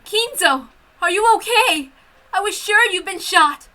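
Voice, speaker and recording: A woman's voice: extremely high pitch, animated, measured pace, bright, forceful tone, voice pushed up, heavily acted. A woman voicing a feisty, defiant young Italian woman in danger, urgent and emotional, in a story reading. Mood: alarmed.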